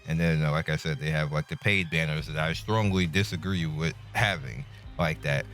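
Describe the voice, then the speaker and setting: A man hosting a gaming video, giving casual review commentary in a laid-back, colloquial, halting way. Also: rumbling low, fairly even intonation, fairly bright tone. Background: music.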